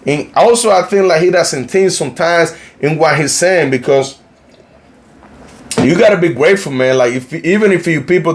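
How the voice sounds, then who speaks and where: Young colombian guy, spanish accent, rambling vlog chat, lots of "you know like", voice a bit on the high side. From Cartagena, Colombia. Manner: rambling, heavy use of "you know, like", frequent restarts. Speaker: YouTube news channel host. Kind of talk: casual commentary vlog.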